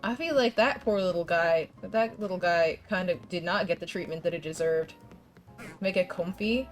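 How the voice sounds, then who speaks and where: A woman's voice: on the low side, moderate pace, soft background music. A young woman streaming a game and chatting with viewers, congested from a coming cold, rambling with restarts and laughs. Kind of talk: casual gameplay chat with viewers.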